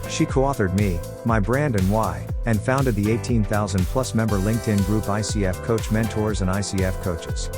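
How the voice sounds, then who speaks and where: A man reading a scripted introduction to an interview show in a measured promotional tone. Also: on the low side, fairly even intonation, music in the background. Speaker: show announcer.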